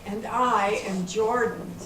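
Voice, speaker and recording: A woman's voice: mid-range voice, fairly even intonation, takes it slowly, fairly bright tone. A woman playing a confident, bossy grandmother and self-styled tech expert in amateur readers theater, instructing others. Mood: bossy, assured. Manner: instructive, assured. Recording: echoey room from far off, faint noise.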